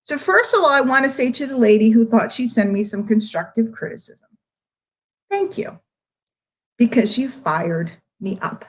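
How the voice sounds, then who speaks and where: Higher-pitched American woman, emphatic and candid. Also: lively intonation, normal speed. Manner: emphatic, confrontational, repeats phrases for stress. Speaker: online marketer speaking to followers. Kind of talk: candid off-the-cuff monologue to camera.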